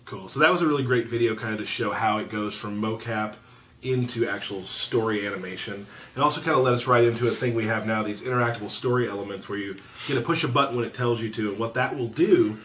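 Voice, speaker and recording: A man's voice: on the low side, fast talker, fairly bright tone, slight background noise. A man hosting a casual, live interview, talking in an informal way. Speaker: interview host.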